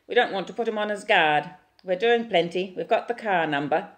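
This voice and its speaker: A woman voicing a calm, official male police officer who speaks briskly and reassuringly. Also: medium pitch, voice pushed up, natural voice.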